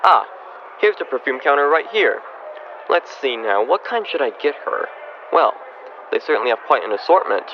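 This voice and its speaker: Man as animated, grumbly middle-aged dad, muffled recording. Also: medium pitch, moderate pace, lightly acted. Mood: musing, grumbling. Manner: muttering to himself, grumbling. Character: middle-aged father, grumbly, thrifty Christmas shopper. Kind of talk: old-time radio comedy acting. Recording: dull, band-limited, with clear background noise.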